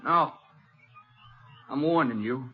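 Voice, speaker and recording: An American actor playing an embattled, defensive young rancher in a 1950s radio western, his voice strained and husky. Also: mid-range voice, takes it slowly, soft background music. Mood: defiant, agitated. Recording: muffled, noisy-edged vintage radio recording.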